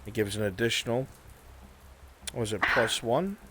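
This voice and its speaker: Low-voiced man narrating a roleplay. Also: expressive, background noise. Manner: measured, descriptive second-person narration. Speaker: game master. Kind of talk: narrating a live roleplay game.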